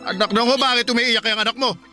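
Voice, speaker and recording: An actor playing a short-tempered, insulting Filipino father in a radio drama, loud, scornful and argumentative. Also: very high voice, fairly even intonation, quick pace, soft background music, heavily acted. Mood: angry, scornful.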